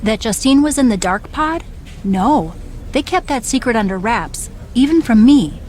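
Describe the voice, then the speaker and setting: A woman plays a scheming veteran female wrestler in a video game cutscene. Her voice sits fairly high, measured and persuasive, with slight background noise. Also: animated, darkish tone, lightly acted. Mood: knowing, persuasive.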